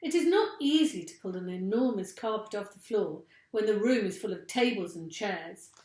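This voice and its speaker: A woman teacher reading a children's story aloud with expressive narration. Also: medium pitch, moderate pace. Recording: echoey room.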